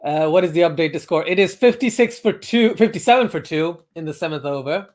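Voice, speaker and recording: A man hosting a cricket watchalong stream, reading viewer comments aloud and updating the score in a relaxed, chatty way. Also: a little high, a little fast. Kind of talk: casual chat over a live stream.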